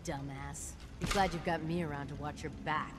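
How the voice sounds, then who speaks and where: Weary, crude female raider voiced by a woman, low and irritable, game character barks over noisy ambience. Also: fairly even intonation, normal speed, natural voice. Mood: irritated, weary. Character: gruff, foul-mouthed, weary female raider gang member.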